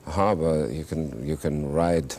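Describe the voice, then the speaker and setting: An exceptionally deep, low-pitched male voice talks thoughtfully, pausing and restarting as he gathers his words, then landing dry, wry punchlines. He is a German-born actor and singer reminiscing as an interview guest. A faint hiss runs under the old studio recording. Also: a little mellow. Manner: thoughtful, halting with many restarts, wry humor. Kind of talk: reflective conversational storytelling.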